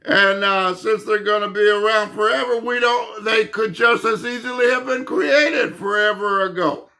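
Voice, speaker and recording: A man explaining physics in an unscripted monologue, insistent and emphatic, halting with fillers and restarts, and losing his voice. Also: high-pitched, vocal fry, pushed and bright. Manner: insistent, emphatic, repeats himself. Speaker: channel host explaining physics.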